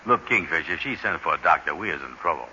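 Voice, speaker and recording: An actor playing a slow, gullible, good-natured pal in a 1940s radio comedy. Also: male voice, rumbling low, lively intonation, sharp tone, full character voice. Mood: easygoing. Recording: dull old radio broadcast, faint hiss.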